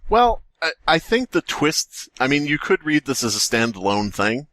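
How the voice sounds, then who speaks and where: A male podcast co-host talks casually in a dry, close space, his pitch moving around in an animated way. He thinks aloud as he goes, rambling and joking through his points about a story. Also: normal speed, dry recording.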